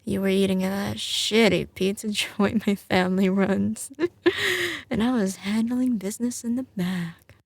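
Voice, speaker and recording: Actress as tough, doting mob-boss girlfriend for ASMR roleplay: Southern drawl, unhurried, creaky, teasing. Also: medium pitch, no echo, clean studio sound. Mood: fond, confident. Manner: slow, drawling, teasing endearments. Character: doting, tough mafia heiress girlfriend, young woman. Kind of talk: ASMR roleplay, intimate scripted monologue to the listener.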